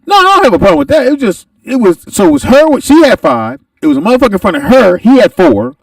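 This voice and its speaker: Male podcast host, gravelly and animated, casual comedic storyteller; slightly off-mic, dry room. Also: extremely high pitch, fast, no room sound, far from the mic. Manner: rambling storyteller, loud outbursts.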